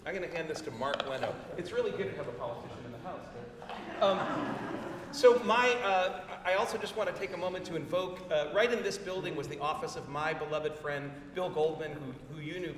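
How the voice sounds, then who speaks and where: A man's voice: a little high, a bit bright. A man giving a personal tribute speech at a memorial lecture, chatty and self-deprecating. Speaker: invited speaker giving a tribute. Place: lecture hall. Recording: echoey hall from far off with noise.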